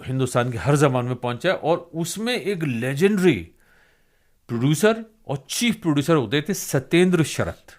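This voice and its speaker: A man's voice: fairly high pitch, lively intonation, moderate pace. An older man interviews conversationally, putting in admiring remarks as he goes.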